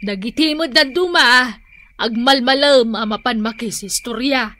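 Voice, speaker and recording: High, bright, nagging Ilocano wife. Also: female voice, unhurried, no room sound. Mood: chiding. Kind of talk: Ilocano radio comedy-drama acting.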